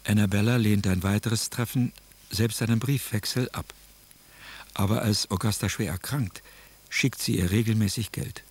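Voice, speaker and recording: A man's voice: lowish voice, fairly even intonation, normal speed, breathy edge. A man narrating a scripted radio drama in a sober, factual manner. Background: violin music.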